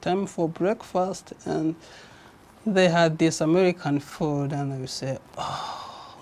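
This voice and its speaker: A man's voice: on the high side, takes it slowly, a little mellow. A man recounting his personal story in an interview, hesitant, with an uneven pace.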